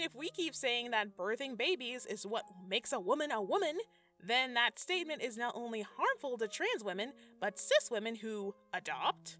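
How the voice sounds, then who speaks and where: Female commentator, sassy and conversational, bright forceful voice, lively intonation. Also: on the high side. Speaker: video essay narrator. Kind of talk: scripted commentary with slangy asides. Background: background music.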